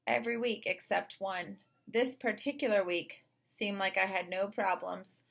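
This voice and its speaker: A woman narrating a children's story in an expressive read-aloud style. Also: mid-range voice, unhurried, creaky. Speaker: storybook reader.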